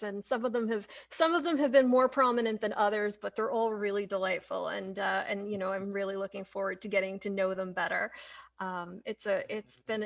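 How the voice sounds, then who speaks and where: A woman's voice: on the high side, a bit bright. A woman hosting a casual interview, speaking in a tentative, rambling way with questions that restart and trail off. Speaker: interviewer, host.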